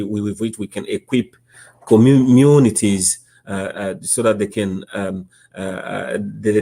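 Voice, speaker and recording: A man with a Congolese accent and a fairly low voice gives interview answers. His speech is halting, with frequent stammered repeats as he explains his work as an organization's director. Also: dark tone. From Democratic Republic of Congo. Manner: halting, stammering repetitions of word starts. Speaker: interview guest, nonprofit executive director.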